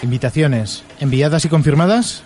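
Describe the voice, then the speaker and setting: A man's voice: on the high side, expressive, moderate pace, a little mellow, lightly acted. A man with an accent from Spain playing a harried, grumbling team worker in a radio drama. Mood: businesslike, wary. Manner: exasperated, colloquial.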